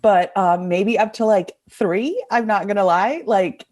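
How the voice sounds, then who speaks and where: A woman's voice: medium pitch, unhurried, a little mellow. A young woman from Hong Kong chatting casually and effusively as an interview guest, full of enthusiasm. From Hong Kong, also raised in Indonesia.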